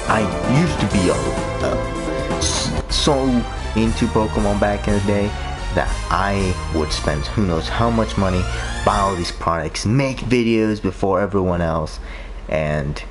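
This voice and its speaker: A man with a low, deep voice talks in a flat monotone as he streams a game, wandering from thought to thought with plenty of "um"s and restarts. Loud game music plays under his voice the whole time. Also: slow. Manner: rambling, with many fillers and restarts. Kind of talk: casual rambling chat while streaming a game.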